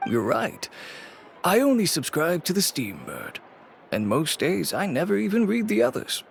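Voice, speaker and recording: A man playing a courteous, weary and wry merchant in a video game, speaking in a measured, creaky voice. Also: high voice, wildly swooping pitch, slight background noise, heavily acted. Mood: matter-of-fact.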